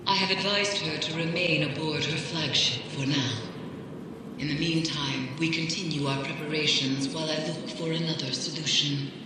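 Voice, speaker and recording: A woman playing a grave, mysterious occult scholar in a video game, speaking in a solemn, measured, ominous way. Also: low voice. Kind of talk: video game dramatic dialogue. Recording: cavernous echo with clear ambient noise.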